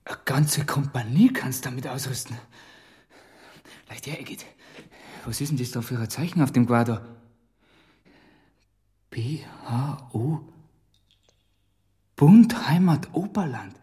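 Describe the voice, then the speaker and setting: An actor playing a brisk, middle-aged Bavarian police inspector in a radio crime drama, reasoning aloud and giving terse orders in dialect. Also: male voice, medium pitch, dark tone, husky. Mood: deducing, hushed. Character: brisk male police inspector in a 1920s Alpine village, reasoning and commanding.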